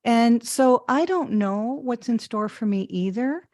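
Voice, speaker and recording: A woman's voice: fairly high pitch, animated, measured pace, a little mellow, dry recording, clean studio sound. A woman hosting an interview show, enthusiastic and affirming toward her guest. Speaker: show host. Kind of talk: conversational interview.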